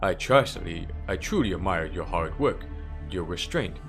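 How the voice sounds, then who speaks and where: A man acts out a sinister priest with a low-pitched voice, formal and unhurried in manner. He is politely menacing and smoothly condescending, in the style of a dramatic game dub, with music playing underneath. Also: lively intonation. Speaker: amateur voice impressionist. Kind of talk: dramatic fan dub character acting.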